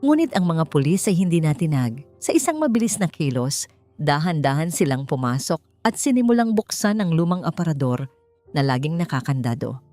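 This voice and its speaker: A woman's voice: deep voice, very animated, fairly quick, dark tone, dry recording, soft background music. A woman narrator reading a scripted, emotional drama story in a storytelling style.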